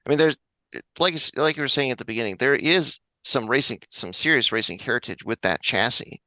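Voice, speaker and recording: A man's voice: medium pitch, animated, a little fast, pushed and bright, no room sound, low-quality recording. A man interviewing a racing driver, casual and enthusiastic, with lots of "like" fillers. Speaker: interviewer, co-host.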